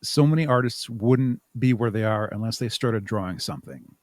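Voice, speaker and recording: A man's voice: fairly low pitch, normal speed, a little mellow, no echo, studio quality. A man talking informally as an interview guest, hesitating and thinking aloud as he answers. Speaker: podcast guest, audio drama creator and podcaster. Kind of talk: casual interview chat.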